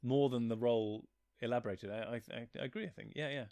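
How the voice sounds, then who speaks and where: A man's voice: medium pitch, steady tone, fast, a little mellow, no echo. A man speaking casually as a writer being interviewed, in an informal conversational way. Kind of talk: casual chat.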